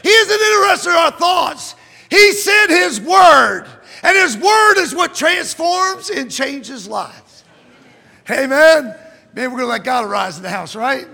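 A middle-aged man preaching a sermon in a church, reading scripture and rising into fervent shouts. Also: very high voice, animated, unhurried, vocal fry. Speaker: pastor. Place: church sanctuary. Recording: long church hall reverb.

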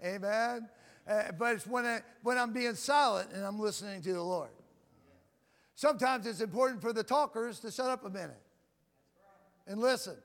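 A man preaching in a very high, piercing voice, stumbling and repeating himself as he pushes toward shouting. He keeps asking "Amen?" between lines. His voice rings in a long church reverb over faint music. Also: gravelly. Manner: loud, says he shouts and builds to shouting. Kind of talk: sermon.

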